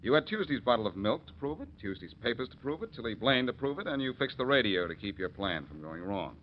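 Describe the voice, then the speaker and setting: An American actor playing a confident, needling amateur detective with an emphatic delivery in a 1940s radio drama. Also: male voice, mid-range voice, big pitch swings, fast talker, natural voice. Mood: accusing, triumphant. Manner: emphatic, punchy, accusing delivery. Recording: muffled, noisy 1940s radio recording.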